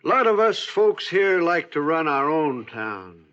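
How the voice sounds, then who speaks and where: Sharp, smug frontier town constable. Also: male voice, on the high side, very animated. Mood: smug threat. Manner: folksy, drawn-out, veiled menace. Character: smug, hostile small-town male constable of the Old West. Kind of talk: 1940s-50s western radio drama acting. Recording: muffled old-time radio recording.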